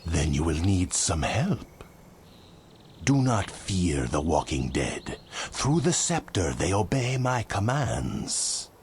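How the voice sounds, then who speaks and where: A very low-voiced grave vizier sorcerer in a video game cutscene. He speaks formally and ominously, like a theatrical court mage, with faint ambient noise behind him. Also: sing-song, slow pace, a little mellow, exaggerated voice. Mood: commanding, urgent.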